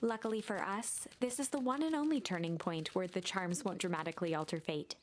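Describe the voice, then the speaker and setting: A woman playing a calm, knowing storybook narrator in a game, speaking in a measured, theatrical way. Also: fairly low pitch, a little hiss or hum, close to an everyday voice. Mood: knowing, guiding.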